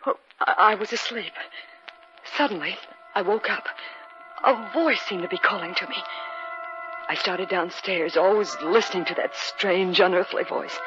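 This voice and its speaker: An actress playing Marcia, an impressionable wife in a 1950s radio drama. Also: female voice, mid-range voice, moderate pace, bright, forceful tone, faint music behind, natural voice. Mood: frightened. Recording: dull, noisy vintage radio recording.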